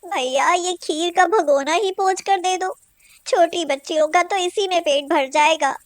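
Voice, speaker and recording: Woman acting devoted young mother, cartoon story, earnest, pleading, extremely high pitch. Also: unhurried, no room sound, clean studio sound. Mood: humble pleading.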